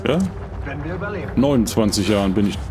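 Male game streamer, middle-aged: lowish voice, unhurried rambling chat, jokey, clear music behind. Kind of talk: casual chat while playing.

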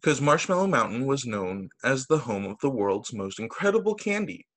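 A man, a teacher, reading children's stories aloud over a video call in an expressive, sing-song storytelling way. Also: mid-range voice, moderate pace. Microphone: video call microphone.

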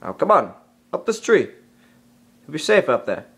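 An actor playing a stern, protective panther in an animated film, authoritative and easily exasperated. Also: male voice, fairly high pitch, moderate pace, vocal fry, slightly rough, full character voice. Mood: firm, tired. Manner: authoritative, formal, scolding.

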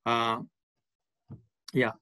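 A man's voice: extremely slow, sharp tone, dry recording, studio quality. Discursive man in his sixties, from India and Malawi. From born in India, grew up in Malawi. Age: 60s. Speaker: podcast guest, charity development manager. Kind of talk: conversational interview about own experience.

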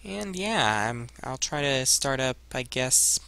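A young man giving casual, halting gameplay commentary as a let's play host, rambling and muttering to himself into a headset. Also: mid-range voice, lively intonation, creaky, bright, forceful tone, smooth, no room sound. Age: young adult.

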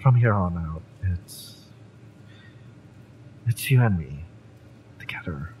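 A man playing a fiery, devoted mercenary in love, tough yet tender, in a romantic audio roleplay, with emphatic, halting delivery. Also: deep voice, animated, darkish tone, slight background noise, voice pushed down, poor audio. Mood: soft, devoted. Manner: impassioned, emphatic, halting delivery with breathy drops.

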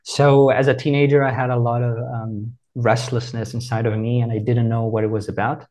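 A man, answering in a measured, reflective, teacherly way over a video call. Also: medium pitch, flat delivery, a little mellow. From Brazil. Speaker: meditation teacher, guest. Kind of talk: calm explanatory interview answers.

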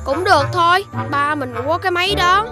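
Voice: very high-pitched, fairly quick. A performer voicing a curious, practical young cartoon child, perky and inquisitive, with a southern Vietnamese accent. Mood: upbeat. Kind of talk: animated children's cartoon dubbing. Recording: loud music behind the voice.

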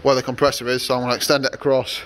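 A man's voice: mid-range voice, little pitch movement, rapid-fire, fairly bright tone. A young man vlogging casually from his workshop yard, rambling with many fillers and restarts. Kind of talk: casual vlog narration.

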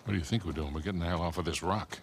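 A brisk, sardonic older adventurer. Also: male voice, low-pitched, a little hiss or hum. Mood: exasperated. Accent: American. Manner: blunt, sardonic. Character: gruff, exasperated older male adventurer, sardonic and blunt. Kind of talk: video game cutscene acting. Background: music.

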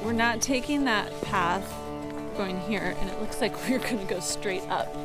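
A woman's voice: mid-range voice, a little slow, loud music behind the voice. A woman in her thirties chatting cheerfully to a handheld camera while hiking outdoors on a forest trail. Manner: chatty, upbeat, laughs often. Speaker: hiking vlogger. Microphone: action camera held at arm's length.

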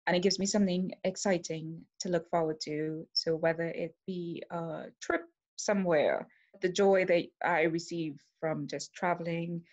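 A woman's voice: fairly low pitch, steady tone, normal speed, a little mellow. A woman in her forties from Trinidad and Tobago, with a Trinidadian accent, talking earnestly and reflectively about her life while seated at home before a laptop camera. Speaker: interviewee sharing her story. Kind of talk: personal testimony to camera. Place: home room, seated on a sofa.

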